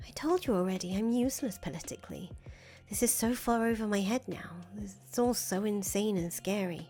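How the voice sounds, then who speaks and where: Woman playing visual novel fangirl: young, soft-hearted, worried, hesitant and earnest, music underneath. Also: mid-range voice, animated, normal speed, lightly acted. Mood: scared, deflated. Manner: hesitant, self-deprecating, earnest. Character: anxious, disillusioned young fangirl, soft-hearted conscience of a revolutionary. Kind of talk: visual novel dialogue reading. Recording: music behind the voice.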